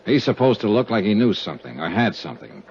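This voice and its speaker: A man's voice: on the low side, very animated, quick pace, gravelly, a little mellow, slight background noise. An American man acting a tough, dogged insurance investigator in a 1950s radio detective drama, with a clipped, dry, terse delivery. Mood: terse, brooding. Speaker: lead actor and narrator. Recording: muffled 1950s radio recording, music behind.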